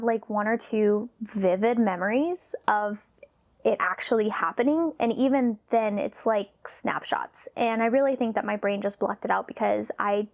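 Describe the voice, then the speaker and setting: A woman in her thirties talking about her own past in a vlog, nervous and halting, with sighs and pauses, sometimes dropping almost to a whisper. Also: mid-range voice, vocal fry. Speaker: vlogger. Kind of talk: personal confessional talk.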